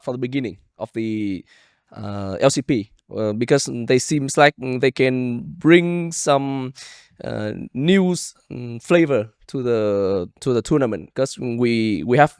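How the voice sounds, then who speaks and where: A man with a Vietnamese accent chatting casually as a podcast guest, searching for words in halting second-language English. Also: medium pitch, a little mellow, clear, resonant tone, no room sound, studio quality. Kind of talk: casual chat.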